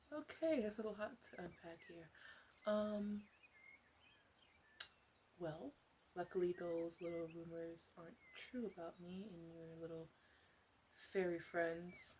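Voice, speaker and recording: Woman acting as a wry forest witch, lowish voice, soft, hesitant, playful, ASMR roleplay, faint outdoor-like ambience. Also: normal speed, slight background noise, close to an everyday voice. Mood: rambling, coy. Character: flirtatious, teasing forest witch, wry and chatty.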